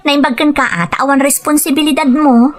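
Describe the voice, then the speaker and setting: A woman playing a gentle, dutiful young wife in an audio drama, polite and earnest. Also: high voice, steady tone, a little fast, lightly acted. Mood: polite, modest. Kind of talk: Ilocano audio drama acting.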